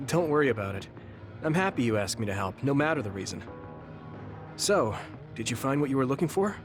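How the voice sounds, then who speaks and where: A man's voice: medium pitch, sing-song, fairly quick, close to an everyday voice. A man voicing an earnest, loyal young male soldier who speaks sincerely and reassuringly. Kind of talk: game cutscene dialogue. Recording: game audio with music behind.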